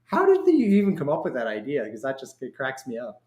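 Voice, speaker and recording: Male podcast host, conversational and a bit halting, informal phrasing, mid-pitched voice in a roomy, echoey space. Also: wildly swooping pitch, a little mellow. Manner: informal, halting, rephrases as he goes. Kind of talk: casual conversational interview.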